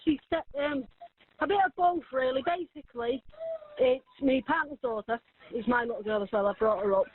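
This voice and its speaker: A woman making an emergency phone call, halting and hesitant with many fillers. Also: high voice. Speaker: emergency caller. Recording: tinny phone line.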